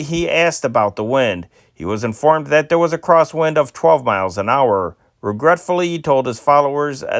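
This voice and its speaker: A man's voice: a little high, a bit bright, no echo, clean studio sound. A man reading old newspaper stories aloud in an amateur style, sometimes stumbling and restarting words. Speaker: news reader.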